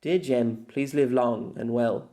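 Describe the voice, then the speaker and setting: A man's voice: medium pitch, fairly even intonation, warm tone. A man voicing a weary, lonely, long-lived empress in an audiobook, reading her farewell letter in a formal, wistful manner. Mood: tender, resolute. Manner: formal, wistful, measured with dramatic pauses. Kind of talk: scripted audiobook narration of a letter.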